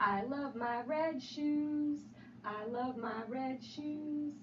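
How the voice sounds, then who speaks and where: Woman, children's storybook reader. High pitch, unhurried, sing-song delivery. Dull, roomy recording with faint noise. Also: far from the mic. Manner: sing-song, repeating refrains and asking questions. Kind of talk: animated children's read-aloud.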